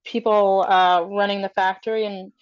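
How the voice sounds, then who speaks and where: A woman thinking aloud haltingly and casually as a player in a tabletop roleplaying game. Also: mid-range voice, flat delivery, clean tone, lo-fi sound. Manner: hesitant, halting.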